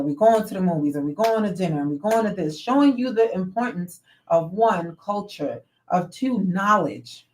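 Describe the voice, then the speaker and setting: A woman's voice: fairly low pitch, darkish tone, rough recording. A woman in her forties with a New York accent, co-hosting a talk radio show and telling frank, blunt stories in casual chat. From Latin America. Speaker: co-host.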